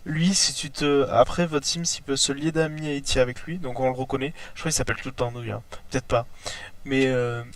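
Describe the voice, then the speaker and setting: Homme enrhumé commentant un jeu. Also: male voice, medium pitch, steady tone, fairly quick, few pauses, no echo. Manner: chatty, talks to his sim and viewers. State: has a cold, congested throat. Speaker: let's play host. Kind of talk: casual gameplay commentary.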